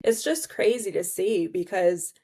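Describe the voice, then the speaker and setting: A woman's voice: medium pitch, lively intonation, unhurried, mellow, slightly rough. A middle-aged woman with a mid-range voice, hosting a conversation in an empathetic way. She affirms her guest often and relates his story to her own. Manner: empathetic, frequent affirming interjections. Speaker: podcast host. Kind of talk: conversational interview.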